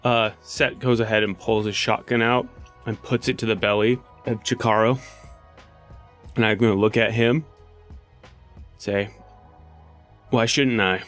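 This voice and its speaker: A man's voice: lowish voice, fairly even intonation, a little slow, pauses often, fairly bright tone. A man playing a tabletop game, improvising his character's actions casually and thinking aloud. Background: faint music.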